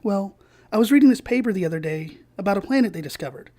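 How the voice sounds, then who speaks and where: A man's voice: high voice, lively intonation, quick pace, warm tone. An actor playing an earnest young male analyst in an audio drama, polite and hesitant. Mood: earnest, deferential. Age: young adult. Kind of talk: naturalistic dramatic acting.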